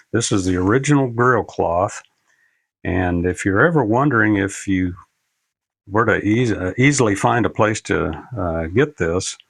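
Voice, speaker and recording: An older man giving a casual, unscripted how-to demonstration, talking in a halting, plain-spoken, folksy way as he explains each step. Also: deep voice, creaky, slightly rough, dry recording. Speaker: presenter of a radio repair tutorial.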